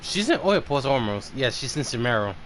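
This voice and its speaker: A man's voice: mid-range voice, very animated, brisk, noisy background. A man hosting a casual game live stream, thinking aloud in a loose, rambling way.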